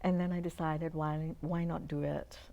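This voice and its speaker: An older woman from Uganda speaks as a guest, with a low, mellow voice. She tells her stories earnestly and casually, stopping and restarting often. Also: flat delivery, normal speed, vocal fry, dull audio. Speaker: guest, dentist. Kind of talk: casual interview talk.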